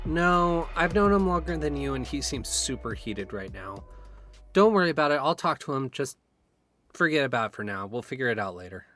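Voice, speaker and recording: Animated actor as sceptical, frank male friend. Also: medium pitch, brisk. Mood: conciliatory. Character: sceptical, frustrated male friend trying to mediate. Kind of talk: audio drama dialogue. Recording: guitar music behind the voice.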